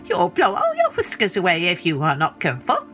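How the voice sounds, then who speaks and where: A woman voicing a tiny, boastful male gnat in a storybook reading, talking quick, high and mocking. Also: huge pitch swings, fairly bright tone, soft background music, full character voice. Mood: taunting.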